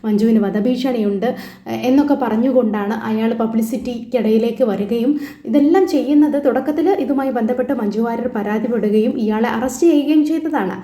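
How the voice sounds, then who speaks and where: High-voiced Malayali woman in an echoey room. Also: brisk, mellow. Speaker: YouTube commentator and host. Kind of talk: informal news commentary to viewers. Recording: echoey, roomy voice-over.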